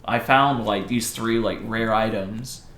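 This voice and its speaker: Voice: medium pitch, takes it slowly. A podcast co-host chatting casually and swearing freely, acting out quoted lines while retelling stories. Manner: sweary, animated quoting of characters. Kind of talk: casual chat, retelling a video game plot. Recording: echoey room.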